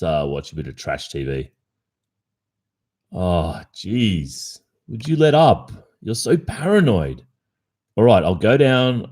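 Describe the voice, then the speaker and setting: Deep-voiced Australian boyfriend, acted by a man. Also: a little mellow, heavily acted. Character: cheating young Australian boyfriend, casually dismissive, evasive. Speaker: roleplay performer. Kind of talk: ASMR boyfriend roleplay, acted.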